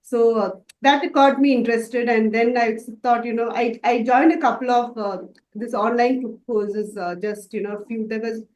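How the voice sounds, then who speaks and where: A woman's voice: fairly high pitch, fairly even intonation, moderate pace, few pauses, darkish tone, roomy sound, poor audio. A woman from Dubai answering interview questions about her makeup work, hesitant and full of fillers and restarts. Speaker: makeup artist student.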